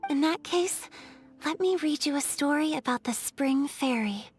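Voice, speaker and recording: An actress playing a gentle, wistful fairy woman in a video game, soft-spoken, measured and poetic. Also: high voice, expressive. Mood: wistful, storytelling. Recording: music clearly behind the voice.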